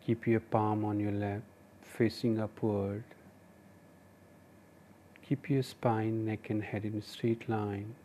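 Slow, mellow Indian man guiding meditation. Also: lowish voice, level tone, a little hiss or hum. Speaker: meditation teacher and podcast host. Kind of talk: guided meditation narration, spoken instructions.

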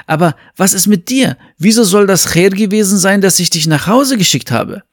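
A man voicing an impulsive, hot-tempered king in a fable, loud and exclaiming. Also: sits high, lively intonation, moderate pace, no room sound, studio quality, pitched above the performer's own voice. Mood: excited, puzzled.

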